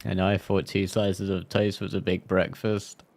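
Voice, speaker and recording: A man with a fairly low voice streams a game live. He reads story text aloud and tosses in playful, bantering reactions. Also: little pitch movement, a little slow, gravelly, darkish tone.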